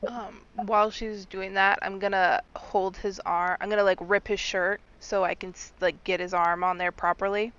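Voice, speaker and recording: Excited woman player, swears lots, bright smooth voice. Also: medium pitch, normal speed, hardly any pauses. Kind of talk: playing a tabletop game, casual chat. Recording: dull lo-fi sound.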